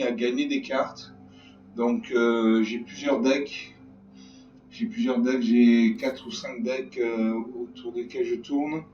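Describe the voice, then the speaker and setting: A hoarse man hosting a gaming channel, talking through a card game in a rambling, repetitive way with many fillers. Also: medium pitch, a little mellow. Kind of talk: casual game commentary. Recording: distant mic, echoey room, dull lo-fi sound, faint music.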